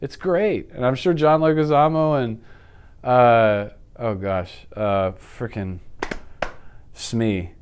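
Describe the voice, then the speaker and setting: A man in his thirties chatting casually as an interview guest, telling stories in an animated way and acting out reactions. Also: medium pitch, slow pace, clean tone. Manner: animated storytelling, acts out reactions and sound effects. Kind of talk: casual chat about films and games. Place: indoor office studio.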